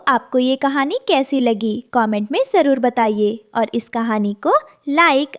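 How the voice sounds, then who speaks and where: A woman's voice: on the high side, no room sound, studio quality. A woman narrating a scripted children's story as the storyteller.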